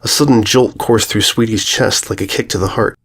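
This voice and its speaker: A man's voice: lowish voice, steady tone, normal speed, a bit bright. A man reading scripted story narration as an audiobook narrator.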